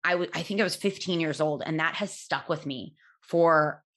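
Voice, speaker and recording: A woman in her thirties, a podcast host, telling her own story in a candid, chatty way, loud-voiced, with fillers and restarts. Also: sits low, moderate pace. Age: 30s. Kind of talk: personal storytelling monologue.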